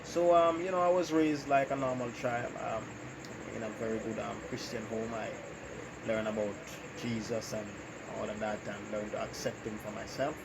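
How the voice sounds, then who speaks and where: Jamaican man, halting and unhurried. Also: on the low side, flat delivery. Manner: unhurried, pauses often with "um" and "you know". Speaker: electrician hosting his own channel. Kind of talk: casual interview answers about his life. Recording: noisy background.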